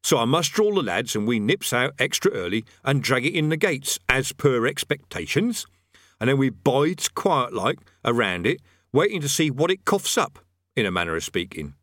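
A man voicing a smug, rough-spoken army sergeant who gloats with cheerful menace. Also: medium pitch, very animated, normal speed, fairly bright tone, no echo, clean studio sound, pitched above the performer's own voice. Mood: gloating. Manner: coarse colloquial speech, gloating, cheerful menace.

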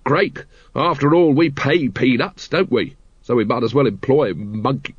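A man voicing an exasperated, sarcastic captain of a city watch with a gruff, snappish manner. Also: medium pitch, moderate pace, no echo, highs cut off, lightly acted.

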